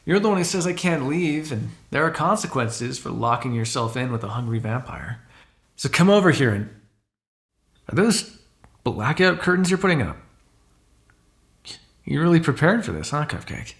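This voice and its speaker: A man performs a cocky, insatiable male vampire in an ASMR roleplay. His voice sits at an average pitch for a man. He talks in a casual, sarcastic way, needling and flirting with an unseen partner and swearing freely. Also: very animated, normal speed, long pauses. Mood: teasing, flirtatious. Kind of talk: ASMR roleplay acting, one side of a dialogue.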